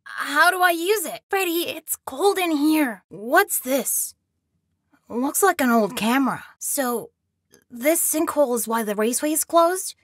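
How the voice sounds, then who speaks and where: A woman's voice: high-pitched, lively intonation, unhurried, fairly bright tone, dry recording, studio quality. A woman voicing a young boy in a video game, scared but plucky and resourceful, speaking in an earnest, anxious way. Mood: determined, tense.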